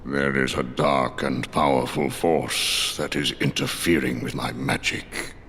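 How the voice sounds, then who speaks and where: Wise old male sorcerer master, extremely deep, slow, grave and formal, music behind. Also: steady tone, a bit bright, husky, full character voice. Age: older. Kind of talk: animated game cutscene acting.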